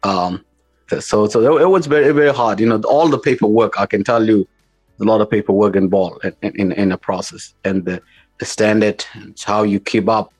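A man with a South Sudanese accent answering interview questions as a company founder, in informal, halting, repetitive phrasing. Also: fairly low pitch, soft background music. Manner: informal, repetitive, halting phrasing with non-standard English grammar.

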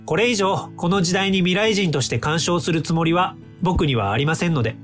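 A man's voice: sits high, brisk, fairly bright tone, faint music behind. A man playing a polite, eager, chatty time-travel researcher from the future in a radio drama. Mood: polite, assured.

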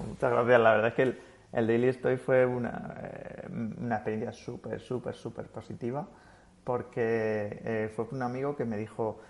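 A man speaking as a guest in a relaxed interview, reflective and rambling, with frequent restarts. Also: mid-range voice, normal speed. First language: Spanish. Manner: thoughtful, rambling with many restarts. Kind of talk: casual interview chat.

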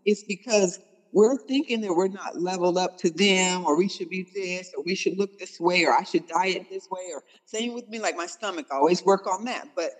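A woman in her forties hosting a dating talk, frank and slangy, coaching with animated, blunt questions. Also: on the low side, brisk, long reverb tail, rough recording. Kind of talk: casual chat.

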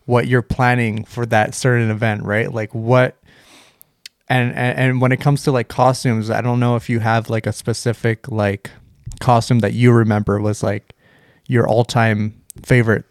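Male guest, hesitant and filler-heavy, mid-pitch, dry close mic. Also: level tone, normal speed, no echo. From Vancouver, Canada. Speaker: podcast guest. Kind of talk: casual chat.

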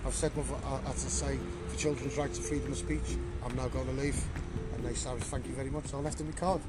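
A man's voice: mid-range voice, steady tone, quick pace, heavy background noise. A man speaking in an earnest, emphatic, rallying way, like a campaigner chatting to live-stream viewers outdoors on a city street. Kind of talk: impassioned casual live-stream chat.

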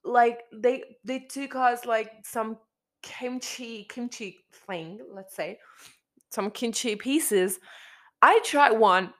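A young woman with a slightly raspy, hoarse voice hosting her podcast in casual chat, repeating herself and restarting her sentences. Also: fairly high pitch, slow pace. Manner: chatty, repeats herself, frequent restarts and fillers. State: hoarse after a concert. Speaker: podcast host. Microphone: studio microphone.

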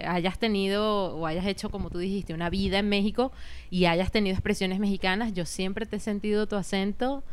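A woman, chatting casually in a relaxed way. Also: mid-range voice, brisk, clean tone. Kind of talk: casual chat.

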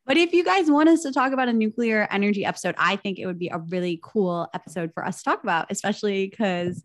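A woman co-hosting a casual podcast, chatty and animated, laughing often. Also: mid-range voice, quick pace. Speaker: podcast co-host.

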